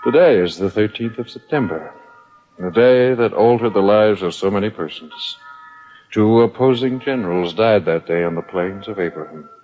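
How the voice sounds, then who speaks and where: A man playing a solemn monk who looks back on his soldier past, in measured, reflective radio drama narration. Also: on the low side, natural voice. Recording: dull radio recording under music.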